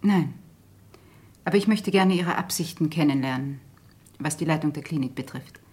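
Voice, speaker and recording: Brief: a proud female physician, slightly low husky voice, formal, pointed, radio crime play. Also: moderate pace, a little mellow. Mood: challenging. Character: proud, challenging female neurologist, jealous colleague.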